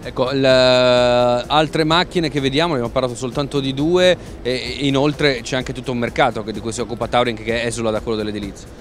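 A man's voice: medium pitch, fairly even intonation, brisk, few pauses, soft background music. A man interviewing a company president at a trade fair, asking conversational, leading questions.

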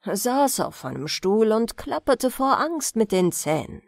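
A woman narrating a bedtime fairy-tale audiobook in an expressive, measured storytelling manner. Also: on the high side, gravelly, dry recording, studio quality.

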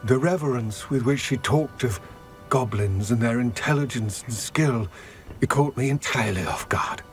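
Man voicing a solemn goblin, weary, measured, mid-pitched, soft music underneath. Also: lightly acted. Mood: somber. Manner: measured, somber storytelling. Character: weary old male goblin, solemn and grave. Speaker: game character.

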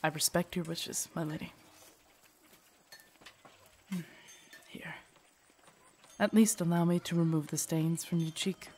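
A woman playing a loyal, protective female knight in an audio drama, formal, deferential and earnest, with a husky voice. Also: lowish voice, steady tone, measured pace, pauses often, darkish tone, slight background noise. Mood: dutiful, gentle. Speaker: voice actor in audio roleplay. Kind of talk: acted immersive audio drama monologue.

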